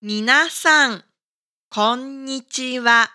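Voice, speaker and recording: Very slow, bright-voiced woman teacher. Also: high voice, faint music behind. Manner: careful, deliberate enunciation. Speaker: language teacher and podcast host. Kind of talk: slow scripted language lesson for learners.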